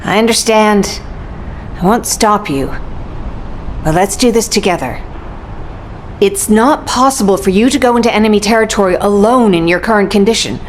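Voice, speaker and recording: A woman voicing a gentle, pleading mother medic. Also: medium pitch, normal speed, long pauses, a little hiss or hum, lightly acted. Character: caring middle-aged mother, ex-medical corps captain. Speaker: mother and former medical captain.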